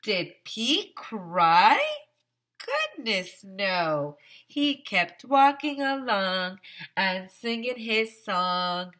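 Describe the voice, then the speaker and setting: A woman in her thirties, a teacher, reading a picture book aloud to young children in a theatrical, exaggerated, sing-song manner with drawn-out words. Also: mid-range voice, animated, a bit bright. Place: small decorated room, indoors. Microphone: headset.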